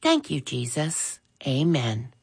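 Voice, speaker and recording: A woman's voice: very deep voice, huge pitch swings, slow, vocal fry, a little mellow, slightly rough, exaggerated voice. A woman voicing an eager, polite young boy in a storybook reading. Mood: earnest. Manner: eager, respectful. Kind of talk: storybook read-aloud.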